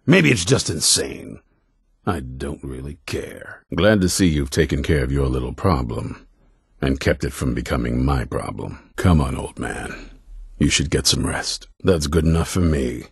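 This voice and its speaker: A stern male leader of vampire hunters in a fantasy video game. His voice is extremely low and thick with gravel, recorded close and dry. He speaks bluntly and with command, suspicious and grudging toward those around him. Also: expressive, measured pace, no echo, heavily acted. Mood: gruff, grudging. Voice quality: very deep, gravelly, raspy. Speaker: leader of a vampire-hunting order.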